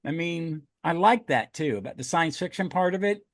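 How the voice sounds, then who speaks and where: A man's voice: a little high, lively intonation, moderate pace, no room sound, studio quality. A man hosting a podcast in casual review chat, talking in a rambling, enthusiastic way. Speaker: podcast host.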